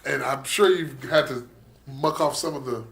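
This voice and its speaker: A man's voice: mid-range voice, moderate pace, creaky, fairly bright tone. Joking radio host, man. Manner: joking, storytelling, slangy. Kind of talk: casual radio chat.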